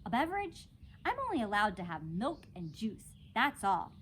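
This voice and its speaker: A woman voicing a brash, chatty, excitable kindergarten girl in a children's storybook, with boastful, emphatic childish grammar. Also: medium pitch, normal speed, slight background noise. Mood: excited. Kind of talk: children's storybook read-aloud.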